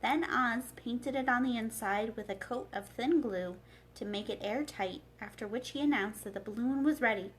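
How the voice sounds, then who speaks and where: High-voiced woman reading a storybook. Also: moderate pace. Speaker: children's librarian reading aloud. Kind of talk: expressive storybook narration.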